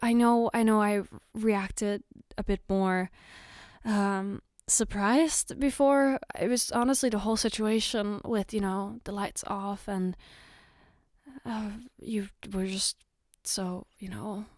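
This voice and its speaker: A woman playing a caring, flustered girlfriend in an ASMR roleplay, speaking gently and haltingly to comfort her partner. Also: medium pitch, steady tone, no echo, studio quality, close to an everyday voice. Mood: soft, coaxing. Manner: hesitant, gentle, reassuring, halting. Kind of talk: ASMR roleplay, spoken to the listener.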